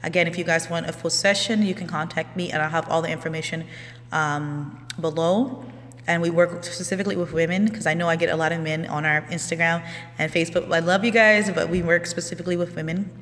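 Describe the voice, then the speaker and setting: A woman's voice: lowish voice, fairly even intonation, a little fast, a bit bright, in a large hall, slight background noise. A woman, a life coach talking casually and loosely on a live stream, with many ums and restarts. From Houston, Texas.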